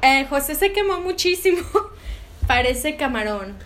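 A woman's voice: high voice, animated, unhurried, a bit bright. A woman in her twenties with a Mexican accent, chatting casually as an interview guest in a giggly, slangy way. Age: 20s. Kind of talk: casual chat. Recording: noisy amateur home recording.

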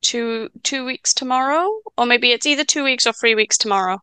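Welsh-speaking woman, 20s, stuttering guest chatting; bright, clean, dry close sound. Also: a little high, clear, resonant tone, dry recording. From Wales. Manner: stammers and restarts, stutter; chatty, quick asides and laughs. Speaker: podcast guest. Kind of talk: casual chat.

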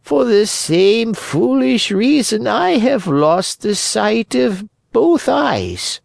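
A sly, cruel cat from a storybook, voiced by a man. The voice is pitched high and moves slowly, deliberate and smug. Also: big pitch swings, vocal fry, no echo, clean studio sound, exaggerated voice. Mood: mock-pitiful.

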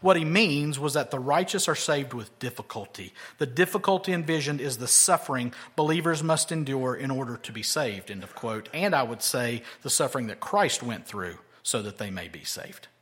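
A man preaching an expository sermon, moving between loud, emphatic lines and quiet, low asides. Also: expressive, moderate pace, few pauses. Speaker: pastor.

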